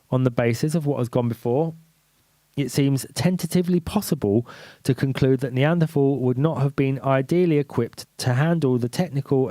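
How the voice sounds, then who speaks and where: A man reads a dense nonfiction book aloud in a measured, deliberate way. His voice is mellow and a bit stuffy. The sound is dry and close. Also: mid-range voice, no room sound. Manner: measured reading, then rambling with restarts and fillers. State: stuffy nose. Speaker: live-stream host reading a book aloud. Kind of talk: book reading then casual chat.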